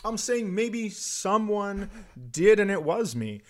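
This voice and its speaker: A man's voice: high voice, very animated, takes it slowly. A man in casual comic banter, telling anecdotes in a rambling way and often interrupting himself. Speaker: comedy podcast host.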